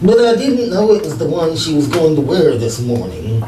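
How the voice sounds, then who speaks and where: An actor playing a meek, mischievous henpecked husband in a live re-creation of 1940s radio comedy, with a mild, halting, prim delivery. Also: male voice, fairly high pitch, animated, crowd noise, natural voice. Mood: sheepish glee. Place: arts center stage, live performance. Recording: echoey stage, distant mic, noisy.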